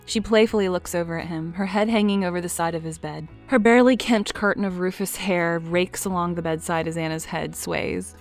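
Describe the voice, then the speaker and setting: A woman narrating an audio drama in a soft, storybook manner. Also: on the low side, a little fast, hardly any pauses, faint music behind.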